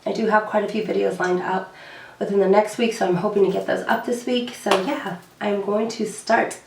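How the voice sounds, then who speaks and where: A young woman with a hoarse, gravelly voice talks through her beauty favorites in a loose, chatty way, full of fillers and repeated words. She sits a little back from the mic, and the room around her rings with echo. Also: mid-range voice, fairly even intonation, moderate pace, distant mic, noisy background. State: hoarse, recovering from lost voice. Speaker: beauty vlogger. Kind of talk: casual chat to camera.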